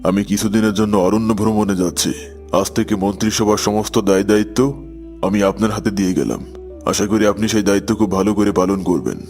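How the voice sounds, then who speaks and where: A man's voice: fairly low pitch, quick pace, darkish tone, soft background music, natural voice. A man playing a gloomy, dignified king in a cartoon, speaking in a formal, sombre manner. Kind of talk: Bengali cartoon voice acting.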